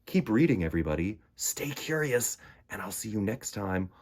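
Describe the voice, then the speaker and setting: A man hosting a children's storytime, reading picture books and chatting in simple, expressive, conversational language. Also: on the low side, normal speed. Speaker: host of a children's storytime show.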